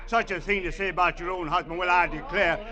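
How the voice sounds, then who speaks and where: A high, extremely sharp-voiced villain, a spy posing as an indignant husband. He scolds and blusters in the heightened style of 1950s radio thrillers. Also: fairly even intonation, quick pace. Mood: mocking indignation. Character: menacing spy bluffing as an indignant, bullying husband. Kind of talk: 1950s radio drama acting.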